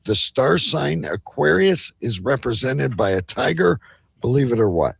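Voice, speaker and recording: Slow-paced, gravelly male quiz host. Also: medium pitch, very animated, dry recording. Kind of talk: bantering live comedy quiz show.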